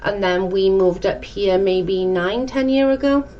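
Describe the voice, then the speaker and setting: A woman's voice: mid-range voice, a little slow, dark tone. A woman in her forties with a South Welsh accent, chatting casually as a livestream host and reading out comments. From South Wales. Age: 40s. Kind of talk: casual chat with live viewers, reading out comments. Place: dim indoor room at home. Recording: muffled, clearly noisy room recording.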